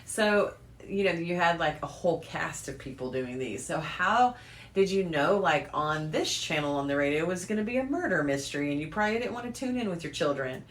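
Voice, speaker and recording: A woman's voice: lowish voice, animated, a little fast. An American woman chatting casually as a podcast co-host. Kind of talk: casual chat. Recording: roomy echo, clear background noise.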